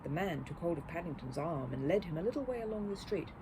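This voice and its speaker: Woman reading a kids' audiobook, very lively intonation, bit on the low side, noisy background. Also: fast talker. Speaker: storyteller. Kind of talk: expressive children's audiobook narration. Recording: clear background noise.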